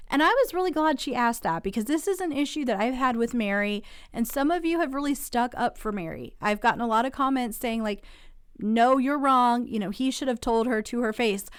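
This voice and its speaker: A woman's voice: fairly high pitch, lively intonation, brisk, clear, resonant tone, no room sound, studio quality. Chatty woman recap host, getting over a cold. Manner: chatty, opinionated, asks viewers to weigh in. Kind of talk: casual commentary recap.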